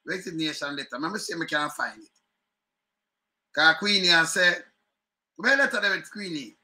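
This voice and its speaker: A middle-aged Jamaican man speaks in patois straight into a webcam from a room at home. His voice sits high and has a sharp, bright edge. He talks in an animated way and circles back over the same points again and again. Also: moderate pace. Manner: animated, chuckling, repeats phrases, gestures a lot. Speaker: online commentary host. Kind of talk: casual political commentary in patois. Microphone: webcam at desk.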